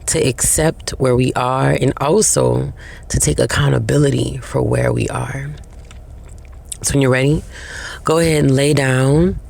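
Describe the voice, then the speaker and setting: A woman leading a guided meditation in a slow, soothing way, with long pauses between short phrases. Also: very deep voice, gravelly, mellow.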